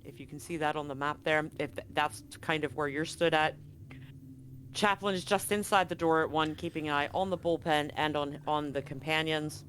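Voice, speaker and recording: A game master narrating a tabletop horror game in a dramatic, suspenseful way, with deliberate pauses. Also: medium pitch, steady tone, a bit bright, slight background noise.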